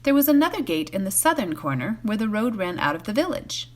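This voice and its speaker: Female volunteer storyteller, mid-pitched and expressive, with lively melody for bedtime novel narration. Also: a little fast.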